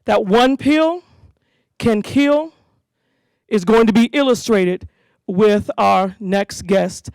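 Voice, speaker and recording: A woman hosting a school community safety event, giving an animated speech to parents and leading call-and-response chants. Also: medium pitch, takes it slowly, long pauses, clean tone, no room sound.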